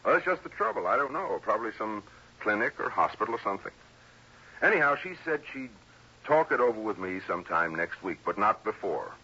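An actor playing a folksy, blunt male insurance man in an old-time radio drama, with husky, slangy, emphatic speech. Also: on the low side, sing-song, brisk, bright, forceful tone, full character voice. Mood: concerned. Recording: muffled vintage radio recording.

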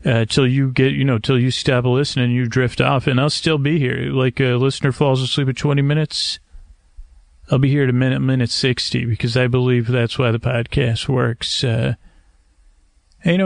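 A man with a creaky, gravelly voice at a medium pitch hosts a sleep podcast. He wanders through his monologue in measured, hesitant tones, gently poking fun at himself. The sound is close and dry. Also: fairly even intonation, no echo. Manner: hesitant, meandering, measured, gently self-deprecating. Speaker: podcast host. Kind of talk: rambling, soothing bedtime monologue to help listeners sleep. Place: screened porch at night.

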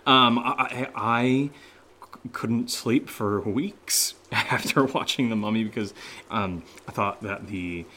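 A man's voice: fairly low pitch, takes it slowly, bright, forceful tone, slight background noise. A man playing a jittery fellow in a horror audio drama, stammering, swearing and rambling to himself. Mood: nervous rambling. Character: jittery man nervously talking to himself in an attic. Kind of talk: naturalistic solo horror audio drama acting.

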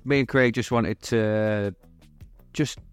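A man's voice: lowish voice, fairly even intonation, takes it slowly, clear, resonant tone, faint music behind. A man, co-hosting a podcast in casual chat, rambling and laughing often. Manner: chatty, laughs often, trails off mid-sentence. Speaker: podcast co-host. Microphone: podcast mic.

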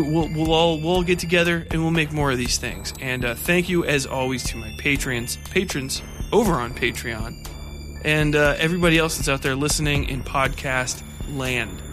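A man hosting a podcast in a casual, rambling, off-the-cuff and sweary manner. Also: on the high side. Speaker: podcast host.

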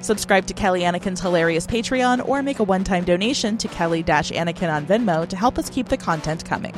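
A woman's voice: on the low side, quick pace. A woman podcast host reading scripted credits and announcements. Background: music.

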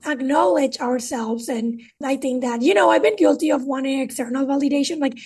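A woman's voice: high-pitched, brisk, darkish tone, far from the mic. A woman hosting a podcast interview, chatty and casual, filling her speech with "like" and "right?". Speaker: podcast host.

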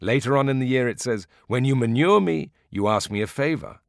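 A man's voice: mid-range voice, lively intonation, a little fast, no room sound, studio quality, voice pushed up, lightly acted. Man voicing genial Barba Petro, folksy village storyteller. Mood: playful, wry. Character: Barba Petro, genial Greek villager explaining olive lore.

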